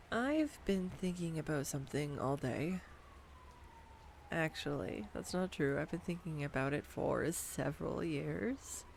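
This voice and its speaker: A woman's voice: lowish voice, a little hiss or hum. A young woman performing a scripted girlfriend roleplay monologue, chatty and giggly, talking to the listener. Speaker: voice actor playing a girlfriend. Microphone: studio condenser mic.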